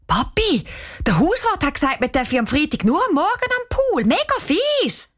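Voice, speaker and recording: A woman voicing an outspoken, indignant young girl in a children's audio story, exclaiming loudly and defiantly. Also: high voice, sing-song, moderate pace, voice pushed up.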